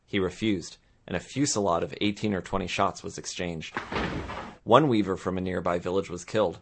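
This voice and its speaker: A man's voice: deep voice, fairly even intonation, moderate pace, fairly bright tone. A man giving dramatic scripted narration of history, as in a radio play. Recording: clear background noise and ambience. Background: noisy ambience.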